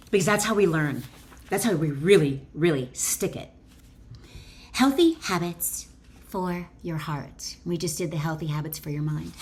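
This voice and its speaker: A woman author and wellness educator reading her book aloud with informal asides, deliberate and emphatic, pausing between short phrases. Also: low voice, darkish tone, a little hiss or hum.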